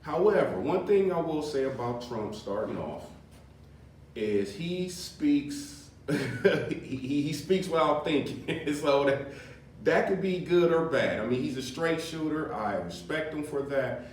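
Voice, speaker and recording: A man's voice: a little high, expressive, measured pace. A man hosting a podcast in a casual political chat. He talks loosely, chuckles often, and uses many fillers and restarts. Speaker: podcast host. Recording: very echoey room, mic far off, faint noise.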